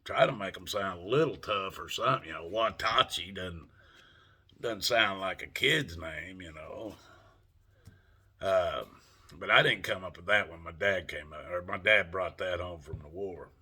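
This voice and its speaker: A man's voice: deep voice, unhurried, pushed and bright, breathy edge. An older man with a Southern US accent, rambling in a folksy, salty way as he talks to his camera for a vlog. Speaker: vlogger. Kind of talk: casual monologue to camera.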